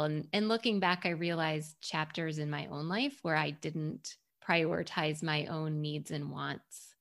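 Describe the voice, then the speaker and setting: A woman hosting a podcast in a conversational interview style, speaking in a reflective, articulate way. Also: fairly low pitch. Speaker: podcast host.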